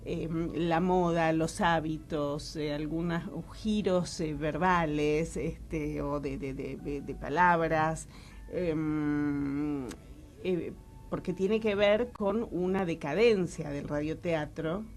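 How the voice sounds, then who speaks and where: A woman with a somewhat low voice and an Argentine Rioplatense accent. She talks casually as a radio interview guest. Her manner is expressive and effusive, with repeated words as she feels for the right phrase. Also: takes it slowly.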